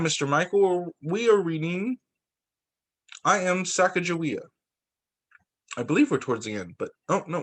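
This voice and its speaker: Man reading aloud with very lively pitch. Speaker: teacher reading a picture book aloud to students. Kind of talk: expressive read-aloud storytelling.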